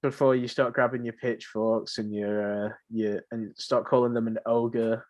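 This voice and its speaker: A man's voice: medium pitch, little pitch movement, moderate pace, darkish tone. A seventeen-year-old young man co-hosting a podcast, chatting casually about games in an informal, slangy, jokey way. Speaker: podcast co-host.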